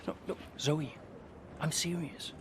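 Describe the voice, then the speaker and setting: A man's voice: on the high side, steady tone, slow pace. A man playing a curt, middle-aged veteran racing driver in a game cutscene, with noise behind him. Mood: uneasy, earnest. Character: gruff veteran racing driver, blunt but secretly soft-hearted. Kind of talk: naturalistic game cutscene acting.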